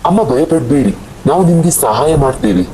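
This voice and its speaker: A man playing a determined, steady village man in a cartoon horror story, speaking reassuringly. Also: normal speed, lightly acted, rough recording. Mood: calm, reassuring. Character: Ramesh, determined calm village man investigating a haunted house. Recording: faint rain and rumble behind voice.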